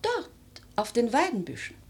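A woman playing an aristocratic old grandmother in a German radio drama, speaking in a theatrical, measured, lyrical way, worldly and imperious. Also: a little high, wildly swooping pitch, husky, heavily acted. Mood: brisk, chiding. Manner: theatrical, measured, lyrical, with emphatic swells and hushed phrases.

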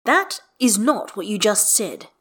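A woman's voice: mid-range voice, big pitch swings, unhurried, fairly bright tone, breathy edge, pitched above the performer's own voice, full character voice. A woman voicing an earnest, exasperated man in a story, speaking in formal, precise, emphatic sentences. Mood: dry, insistent.

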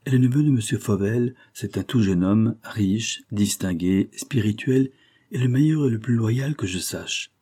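Man reading as a husky, downcast young man. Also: lowish voice, normal speed, warm tone. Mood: piqued. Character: downcast young male cashier, hesitant and proud. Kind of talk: audiobook character dialogue.

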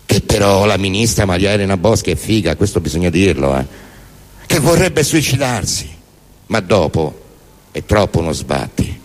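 A man performs theatrical spoken word as a stage monologue, in a deadpan, declamatory manner. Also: low voice, moderate pace. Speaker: stage performer reciting poems and prose monologues.

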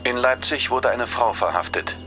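A man's voice: mid-range voice, moderate pace, over music, close to an everyday voice. An actor playing a dry, wry man in an audio play. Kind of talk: acted dialogue in an audio play.

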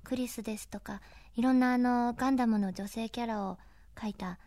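Female interviewer and DJ. Quick, animated, courteous chat, dry studio-like sound. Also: a little high, no room sound. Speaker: interviewer and DJ host. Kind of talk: chatty, polite radio-style interview hosting.

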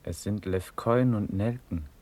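A calm officer attending a prince in a verse radio drama. The voice is low and slow, with a gentle, formal courtesy. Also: male voice. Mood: gentle, solemn. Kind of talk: classical verse radio play acting.

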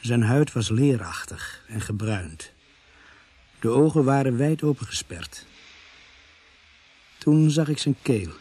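An actor playing a police constable who narrates a radio drama in a measured, grave way with a husky voice. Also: male voice, medium pitch, expressive, warm tone. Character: male police constable grimly narrating a murder case.

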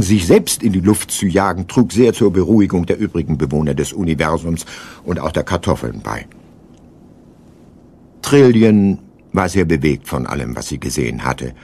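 A man's voice: low-pitched, a little mellow, slightly rough. A man narrating a scripted radio play as its storyteller.

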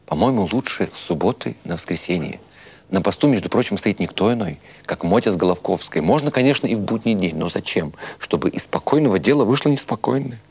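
A man playing an anxious small-time broker in an audiobook story, halting, roundabout and deferential. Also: fairly low pitch, lively intonation, darkish tone, husky. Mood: deferential, coaxing. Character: anxious, wheedling small-time Odessa broker with many mouths. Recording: music under the voice.

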